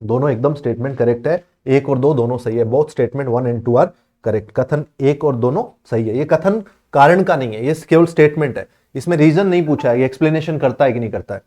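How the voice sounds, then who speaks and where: A man explaining history exam questions to students, reading the English text slowly and then explaining it in Hindi, with many check-ins for understanding. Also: medium pitch, mellow. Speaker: exam coaching teacher.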